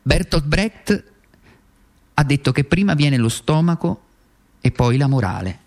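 An actor playing a tormented, self-accusing worn-out communist in a theatrical radio monologue, halting and repeating phrases for emphasis. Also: male voice, on the high side, sing-song, a little mellow. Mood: questioning, bitter.

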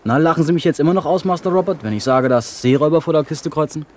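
An actor playing an eager, boyish, excitable young lad who dreams of being a pirate, in a radio play. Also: male voice, a little high, quick pace, a little hiss or hum, natural voice. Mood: boastful, excited. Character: eager, bloodthirsty Irish lad dreaming of piracy. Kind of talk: 1970s German radio play acting.

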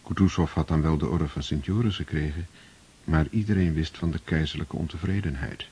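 A man narrating a radio play in a measured, solemn way. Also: very deep voice, dark tone, breathy edge.

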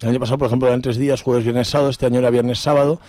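A man's voice: medium pitch, flat delivery, very fast, dark tone, no echo. A man with a Spanish accent from Spain hosting a radio show in an informal, hesitant chat, often repeating himself into a close microphone. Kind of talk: casual live radio chat. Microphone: close studio radio microphone.

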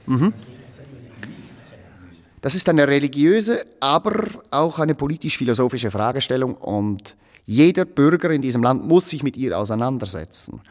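A man's voice: medium pitch, expressive, moderate pace, lightly acted. Actor as a pompous, lecturing man in reverberant hall. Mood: coldly lecturing. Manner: stilted, pedantic, lecturing. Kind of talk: German radio play acting.

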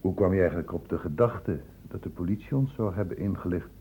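An actor playing a patient, probing public-health doctor in a radio drama, explaining and questioning insistently. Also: male voice, sits low, fast, dark tone, slight background noise, lightly acted. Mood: probing, persuasive. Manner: insistent, explanatory questioning with short pointed prompts. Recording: dull lo-fi old radio play recording.

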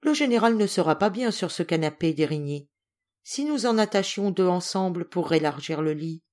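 A woman's voice: on the low side, animated, moderate pace, a little mellow, lightly acted. A woman voicing a tactful, placating mother in a storybook, polite and soothing. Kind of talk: acted storybook reading.

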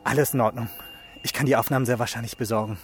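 A man's voice: mid-range voice, steady tone, very fast, a little hiss or hum, lightly acted. An actor playing a tense, exasperated policeman and protective son in a radio crime drama. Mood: uneasy.

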